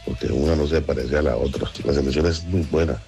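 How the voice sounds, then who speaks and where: A man's voice: very deep voice, fast talker, warm tone, faint music behind. A man giving an informal opinion as a radio listener.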